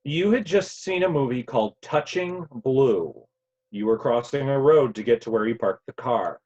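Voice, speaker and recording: A man playing an earnest, grieving grown son from the future in a table read, with a halting, emotional delivery. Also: medium pitch, lively intonation, a little mellow, natural voice. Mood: grieving, urgent. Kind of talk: virtual table read of a play. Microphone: video call. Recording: dull, lo-fi online call audio.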